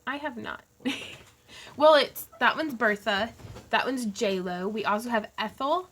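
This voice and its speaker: A woman's voice: fairly high pitch, a little slow, a bit bright. Giggly woman hosting a craft livestream. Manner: giggly, laughs often, chatty asides. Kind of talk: casual livestream chat.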